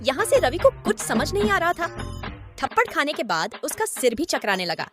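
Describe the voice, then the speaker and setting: A woman narrates a scripted horror tale in a fairly high, lively voice that moves up and down freely. Prominent music plays behind her storytelling. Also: normal speed.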